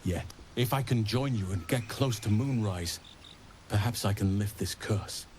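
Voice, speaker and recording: An actor playing an earnest, learned druid burdened by regret, speaking in measured, formal phrases in a fantasy video game. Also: male voice, fairly low pitch, a little mellow, slight background noise, natural voice. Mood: grave, regretful. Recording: game music behind.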